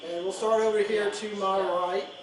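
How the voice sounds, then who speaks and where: A man's voice: high voice, steady tone, moderate pace, background noise. A man hosting a casual, impromptu interview in a coffee shop, rambling with many fillers and restarts. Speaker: coffee shop owner and show host. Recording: echoey room, mic far off, music playing. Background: music.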